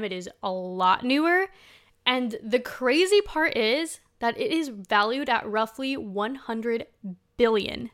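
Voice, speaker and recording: A woman's voice: on the high side, pushed and bright, smooth. A woman in her twenties from Spain giving casual, conversational commentary as a host, chatty and informal, leaning on key words for emphasis. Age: 20s. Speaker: host, sustainable fashion commentator.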